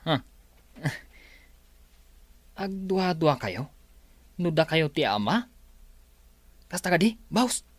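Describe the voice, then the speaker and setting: A man's voice: on the high side, very animated, very slow, pauses often, dry recording, exaggerated voice. An actor with an Ilocano accent playing a teasing, hesitant male sidekick to his boss in a radio drama. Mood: amused, puzzled.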